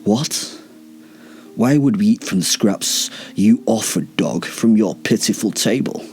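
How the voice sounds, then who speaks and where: A man voicing an arrogant, bloodthirsty thousand-year-old female vampire in a story, with slow, theatrical disdain. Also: fairly low pitch, animated, a little mellow, soft background music. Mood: scornful.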